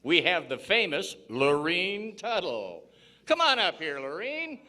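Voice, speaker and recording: A man acting as a genial, excitable 1940s radio variety show host with a folksy, showmanlike delivery. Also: high voice, unhurried, full character voice. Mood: genial, welcoming.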